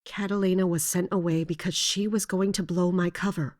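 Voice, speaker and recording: A woman playing a loyal mercenary captain in a fantasy audio drama, speaking formally and with measured, respectful defiance. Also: fairly low pitch, fairly even intonation, a little mellow, natural voice. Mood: controlled, defensive. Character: loyal, resolute female mercenary captain serving royalty.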